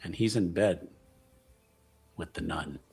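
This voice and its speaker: A man's voice: sits low, slow, gravelly, darkish tone, breathy edge. A man playing a tabletop roleplaying game, casually narrating what his character does.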